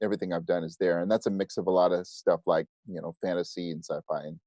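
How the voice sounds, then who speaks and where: A man's voice: deep voice, steady tone, fast talker, dark tone, dull audio. A man chatting casually as an interview guest, with an agreeable, self-deprecating way of talking. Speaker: podcast creator and audiobook narrator, interview guest.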